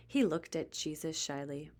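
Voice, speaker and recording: A woman reading a children's book aloud as expressive scripted narration. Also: deep voice, moderate pace. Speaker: storybook reader.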